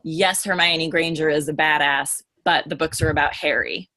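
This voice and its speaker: Low-pitched woman in earnest, emphatic discussion. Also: steady tone, moderate pace, sharp tone, no room sound. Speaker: podcast panelist on a book series team.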